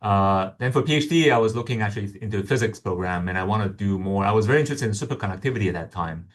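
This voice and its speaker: A man's voice: fairly low pitch, lively intonation, racing. A man from Hong Kong, a guest scientist answering interview questions in a hesitant way, with many ums and restarts.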